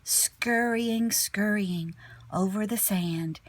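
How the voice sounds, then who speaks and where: A woman teacher reading a children's picture book aloud expressively, sometimes dropping to a hushed tone. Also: medium pitch, very slow. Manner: animated storyteller, drops to hushed whispery tones.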